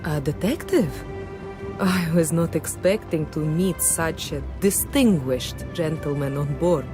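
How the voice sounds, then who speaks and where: A gracious, reserved lady traveller speaks politely in a fairly low voice. Her delivery is composed, as game dialogue with music clearly behind it. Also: measured pace. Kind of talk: video game dialogue acting.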